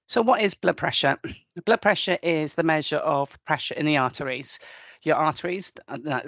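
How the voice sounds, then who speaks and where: Welsh guy, nurse running a webinar, kinda high voice, stops and restarts a lot, dry video call sound. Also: fairly bright tone, dry recording. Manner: hesitant, with restarts and fillers. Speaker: clinical services nurse presenting a webinar. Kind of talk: presenting a health webinar.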